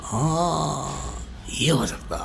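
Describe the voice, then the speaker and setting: A man's voice: on the high side, very animated, very slow, gravelly, heavy background noise, heavily acted. A man playing a dim-witted, melodramatic married son in a broad comedy skit, naive and exaggerated. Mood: puzzled, mock-solemn. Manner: exaggerated loud wailing and naive questioning.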